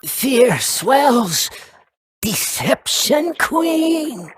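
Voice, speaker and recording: A woman's voice: high voice, very animated, drawn-out words, over music, heavily acted. A woman voicing a scornful, taunting parasitic worm creature in a video game, speaking in clipped fragments. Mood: ominous.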